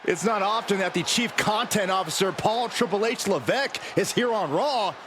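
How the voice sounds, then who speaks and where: A man voicing a male wrestling commentator who calls the action with energetic emphasis. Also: high voice, moderate pace, lightly acted. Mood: excited. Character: excited male play-by-play commentator. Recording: loud crowd noise behind.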